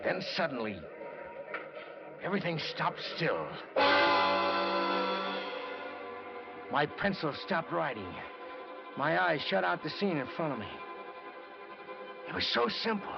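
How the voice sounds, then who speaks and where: An American actor playing a bitter, brooding newspaper reporter in an old-time radio noir drama, with a dramatic, clipped delivery. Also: male voice, lowish voice, lively intonation, moderate pace, close to an everyday voice. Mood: tense, obsessed. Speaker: radio drama lead and narrator. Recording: dull old radio recording with music.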